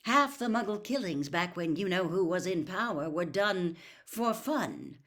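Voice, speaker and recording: A woman's voice: fairly low pitch, animated, a little slow, a bit bright. A woman voicing a grave, weary middle-aged father explaining things in a storybook reading. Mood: bitter.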